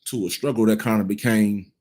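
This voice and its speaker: A man's voice: fairly low pitch, fairly even intonation, fairly quick, darkish tone. A man in his thirties giving casual cultural commentary, blunt and profane, rambling with frequent restarts. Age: 30s.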